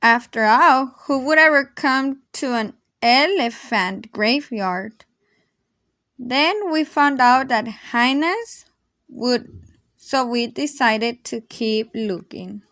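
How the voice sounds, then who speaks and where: A woman reading a children's story aloud in an expressive, dramatic storytelling manner. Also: a little high, slow pace, no room sound, clean studio sound. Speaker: storybook reader.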